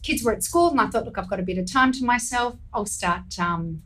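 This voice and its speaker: A woman's voice: fairly high pitch, brisk. Chatty woman telling her story. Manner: chatty storyteller, animated when recounting events. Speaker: home stager and interior designer. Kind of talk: casual interview talk.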